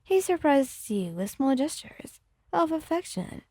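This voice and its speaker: High, mellow woman reading ASMR fiction. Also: sing-song, unhurried, no room sound. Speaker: ASMR fan fiction reader. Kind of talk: scripted storytelling narration, ASMR.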